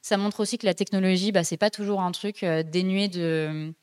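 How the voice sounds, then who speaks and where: A woman's voice: medium pitch, fairly even intonation, moderate pace, darkish tone, smooth. A young woman hosting a casual podcast, talking chattily with many hesitations and fillers. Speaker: podcast host.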